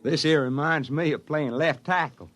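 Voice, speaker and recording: A man's voice: on the high side, moderate pace, a bit bright, faint music behind, natural voice. An actor playing a folksy, bumbling army enlisted man with a drawl in an old radio drama. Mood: reluctant. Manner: drawling, ungrammatical, bumbling deference to officers. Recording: vintage radio drama recording.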